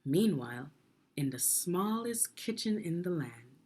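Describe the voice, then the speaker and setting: A woman reading a children's story aloud in a deliberate, expressive teaching manner, putting questions to the listener. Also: lowish voice, slightly rough. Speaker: education consultant reading a children's book aloud.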